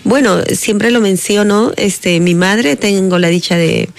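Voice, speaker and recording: A middle-aged woman with a Peruvian accent, a singer guesting on an interview show. Her voice carries a gravelly fry at mid pitch. She talks thoughtfully, often pausing with fillers as she gathers her words. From Andahuaylas, Peru. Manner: reflective, many hesitations and fillers. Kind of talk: casual interview talk.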